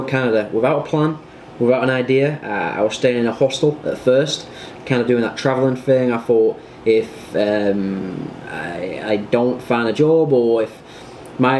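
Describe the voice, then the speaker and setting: A young British man talks to his camera in a loose, rambling way, dropping fillers as he goes. His voice sits at an ordinary middle pitch. A faint hiss runs under the recording. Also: darkish tone. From United Kingdom. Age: 20s. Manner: chatty and rambling, with frequent fillers. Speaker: vlogger. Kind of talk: casual vlog chat.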